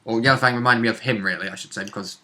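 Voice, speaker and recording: A man's voice: medium pitch, rapid-fire, sharp tone. A man chatting casually as a tabletop game player, blunt and joking, with some swearing. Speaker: tabletop role-playing player. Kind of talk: casual chat.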